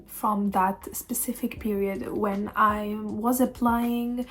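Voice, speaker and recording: A young woman, telling a casual storytime vlog with many pauses and fillers. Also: medium pitch, steady tone, soft background music. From Tunisia. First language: Arabic. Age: 20s. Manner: hesitant, many fillers and restarts. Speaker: vlogger.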